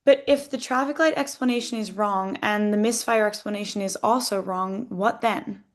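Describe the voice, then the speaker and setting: A woman's voice: mid-range voice, normal speed, natural voice. A woman playing a puzzled audience member asking questions at a screenplay table read.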